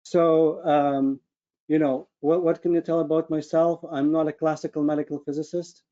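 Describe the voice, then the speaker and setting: Male webinar speaker, Ukrainian accent, higher-pitched and mellow, hesitant and earnest delivery. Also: level tone, moderate pace, dull audio. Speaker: webinar speaker, medical physicist. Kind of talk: informal personal career presentation. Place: online video call with screen share.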